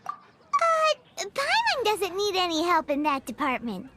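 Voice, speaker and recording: A woman's voice: very high-pitched, wildly swooping pitch, a little slow, heavy background noise, full character voice. A woman voicing a tiny chirpy female fairy-like companion in a video game, cheeky and speaking of herself by name. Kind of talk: video game cutscene dialogue. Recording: game cutscene with music behind. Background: game music.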